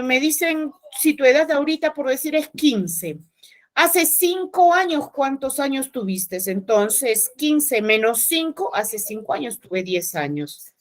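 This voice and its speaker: A woman teacher, explaining math step by step in an online class and often checking with her students. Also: a little high.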